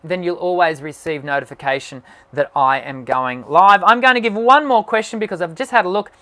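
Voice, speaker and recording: A man's voice: sits high, brisk, pushed and bright. Guy vocal coach doing a live q and a, upbeat and encouraging, lots of ums. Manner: encouraging, talks with many "um" fillers. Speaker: singing teacher and channel host. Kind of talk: live-stream question and answer.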